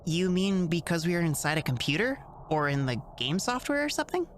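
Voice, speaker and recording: A naive young boy hero voiced by a woman talks in a low but lively register. He is polite, hesitant and casual, like an earnest cartoon kid, with faint noise on the recording. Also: a little fast. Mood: confused, earnest. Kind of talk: fandub character acting.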